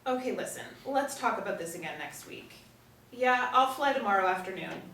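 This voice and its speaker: A woman's voice: medium pitch, expressive, fairly quick, fairly bright tone. A woman in her thirties reading the part of a weary, easily alarmed young actress from a script. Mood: weary, placating. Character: young actress Anna, weary then frightened and insistent. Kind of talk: script read aloud at a table reading. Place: small indoor room, seated group. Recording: roomy, distant mic with faint noise.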